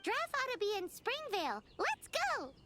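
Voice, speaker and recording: A woman voicing a tiny, excitable childlike fairy companion in a video game, with a squeaky voice. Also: moderate pace, over loud music, exaggerated voice. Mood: chirpy, excitable. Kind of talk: animated video game voice acting.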